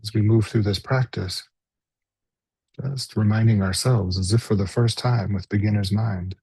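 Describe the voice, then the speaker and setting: A man guides a meditation in a mellow, lowish voice that is very husky and full of breath. He speaks gently in short phrases with unhurried pauses between them. The sound is close and dry. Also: fairly even intonation, no echo. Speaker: mindfulness meditation teacher. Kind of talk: guided body scan meditation.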